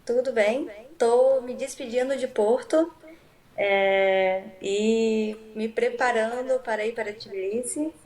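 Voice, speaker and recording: A woman's voice: a little high, slow, a little mellow. A woman with a Brazilian accent co-hosting a podcast and speaking casually to the viewers. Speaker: podcast co-host.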